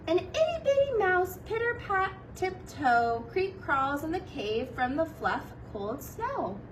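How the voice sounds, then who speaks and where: A woman's voice: very high voice, very animated, takes it slowly, distant mic, a little hiss or hum, poor audio. A woman reading a children's picture book aloud as a kindergarten teacher, with playful asides to young listeners. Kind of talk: expressive children's storybook read-aloud.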